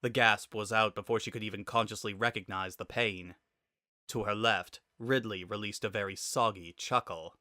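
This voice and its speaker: A man reads a dramatic story as its narrator. His voice is fairly low with a bright, forceful edge, and the recording is dry and close. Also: steady tone, normal speed, no room sound.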